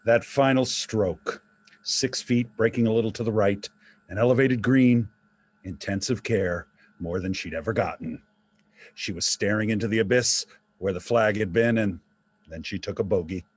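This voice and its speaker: A man's voice: fairly low pitch, moderate pace, husky. An actor in a staged play reading, playing a wry, theatrical dead father obsessed with golf. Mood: wry, measured.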